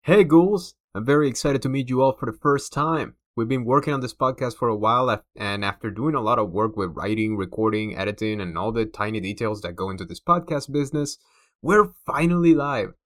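A man's voice: mid-range voice, expressive, normal speed, fairly bright tone, no echo, studio quality. A man from Cuba with a Cuban accent, hosting a podcast in a scripted monologue with playful, theatrical flair. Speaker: podcast host.

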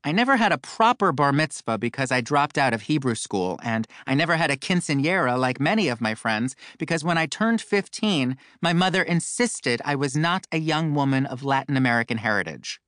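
A man's voice: mid-range voice, animated, fairly quick, hardly any pauses, a bit bright, dry recording, clean studio sound. Campy man reading his memoir. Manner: campy, wry comic asides. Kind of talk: audiobook memoir narration.

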